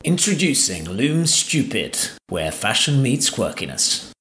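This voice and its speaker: Upbeat ad announcer over music. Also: male voice, mid-range voice, big pitch swings, a little slow. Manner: upbeat, polished promo delivery. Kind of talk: scripted commercial read. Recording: music bed behind.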